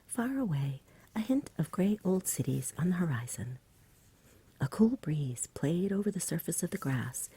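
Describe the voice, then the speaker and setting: Woman, low soft pitch, breathy whisper, gentle ASMR storybook narration, unhurried. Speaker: storybook reader. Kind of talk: soft-spoken ASMR bedtime story reading.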